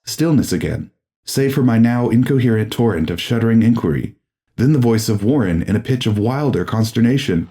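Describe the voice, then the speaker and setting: A man reading a horror audiobook as a grave, formal, deliberate and dramatic narrator. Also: medium pitch, lively intonation, mellow.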